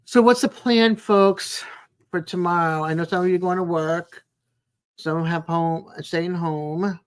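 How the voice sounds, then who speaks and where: A woman's voice: on the low side, fairly even intonation, measured pace, clean tone. Older lady in her 60s just chatting on a livestream, takes her time, rambles a bit. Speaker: live stream host. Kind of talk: casual chat with live viewers.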